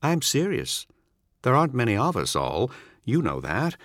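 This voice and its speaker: A man's voice: mid-range voice, huge pitch swings, normal speed, a bit bright, no echo, clean studio sound, pitched above the performer's own voice. A man voicing a charming, smug former comrade who keeps pressing and needling. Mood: insistent. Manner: needling, insistent. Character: smug, charming male old soldier comrade.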